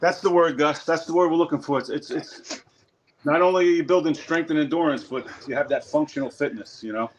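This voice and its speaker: A man's voice: on the high side, fairly quick, a little hiss or hum. A man hosting a workout stream outdoors in a park, chatting casually, rambling and swearing freely. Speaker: workout channel host.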